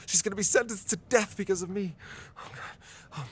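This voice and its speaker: An actor with an American accent playing an anguished, indignant reporter abroad in a radio drama, breathless and urgent. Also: male voice, high-pitched, moderate pace, breathy edge. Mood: panicked, self-blaming. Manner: breathless, talks to himself, urgent. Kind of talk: radio drama acting with first-person narration.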